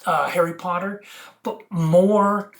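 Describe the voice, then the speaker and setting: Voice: medium pitch, steady tone, takes it slowly. A video host giving a rambling spiritual monologue to viewers, preachy and earnest, leaning emphatically on wordplay and split-up words.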